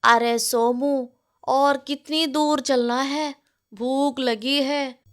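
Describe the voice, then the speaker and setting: Woman voicing a fussy, bossy village landlord, deliberately paced. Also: high voice, steady tone, fairly bright tone, heavily acted. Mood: hungry, impatient. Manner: demanding, curt, complaining. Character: fussy, bossy male village landlord who loves food. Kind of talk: storybook character voice in narrated tale.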